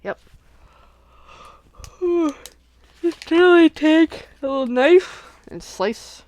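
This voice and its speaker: A woman's voice: high voice, lively intonation, extremely slow, a little mellow. A sniffly woman hosting a casual crafting livestream, chatting and thinking aloud as she unboxes and works at home.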